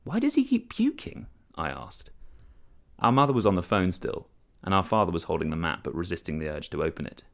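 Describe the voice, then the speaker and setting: A man's voice: low voice, fairly quick, creaky, a bit bright. A man reading a first-person literary short story as scripted narration.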